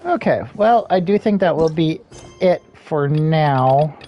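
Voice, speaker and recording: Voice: mid-range voice, expressive, slow pace, a little hiss or hum. A game streamer chatting casually while playing, rambling and half muttering to themself. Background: soft game music.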